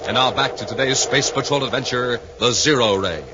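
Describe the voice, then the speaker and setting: Dramatic radio announcer, muffled and noisy. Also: male voice, mid-range voice, a little fast. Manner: suspenseful, declamatory. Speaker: announcer and narrator. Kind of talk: dramatic 1950s radio serial narration.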